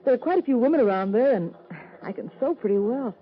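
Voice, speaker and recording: A young American woman acting a polite, hopeful traveler in an old-time radio western, earnest and soft-spoken. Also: fairly high pitch, expressive, fast talker, natural voice. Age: young adult. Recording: muffled old radio recording.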